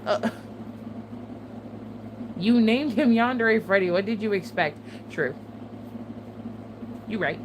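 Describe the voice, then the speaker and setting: Chatty woman over steady background noise. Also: medium pitch, slow pace, long pauses. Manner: chatty, swears often, laughs between remarks. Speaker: channel host. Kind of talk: casual chat to viewers.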